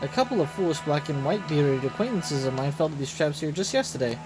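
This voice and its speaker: Man reading as a measured, cautionary casino sage. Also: a little high, animated, close to an everyday voice. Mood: grave, cautioning. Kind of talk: reading game story dialogue aloud. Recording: loud game music behind the voice.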